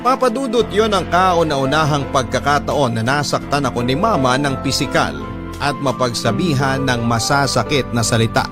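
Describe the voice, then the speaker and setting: A man narrating as a remorseful young letter sender in a Filipino radio drama, confiding and measured. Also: mid-range voice, music in the background, natural voice. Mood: regretful. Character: remorseful young letter sender telling their own story. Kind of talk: scripted first-person radio drama narration.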